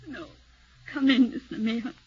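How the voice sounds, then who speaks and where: An actress playing a cunning, cold former actress who speaks in a husky, mocking, clipped way, in a 1940s radio drama. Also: female voice, fairly high pitch, normal speed, a little mellow. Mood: cool, mocking. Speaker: witness in murder trial. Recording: dull lo-fi 1940s radio broadcast.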